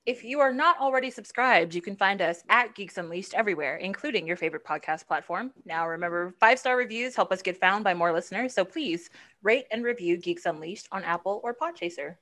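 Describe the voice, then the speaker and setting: A woman's voice: mid-range voice, lively intonation, fairly quick, pushed and bright. A woman co-hosting a pop culture podcast, playful and teasing in casual chat. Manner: playful, teasing, laughs often. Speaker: podcast co-host.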